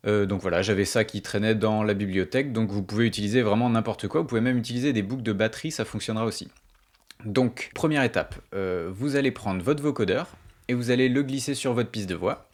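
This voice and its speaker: A man's voice: on the low side, brisk. A man hosting a music production tutorial, explaining things step by step in an informal, colloquial way.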